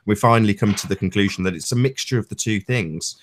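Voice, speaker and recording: A man's voice: lowish voice, fast. A man on a discussion panel, speaking emphatically in wordy, technical phrases during an informal debate.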